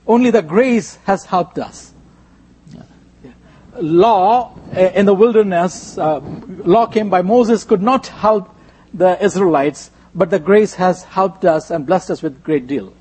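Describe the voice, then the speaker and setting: A man with an Indian accent, a native Gujarati speaker, preaching in short phrases with a husky voice, pausing for an interpreter. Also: high-pitched, darkish tone.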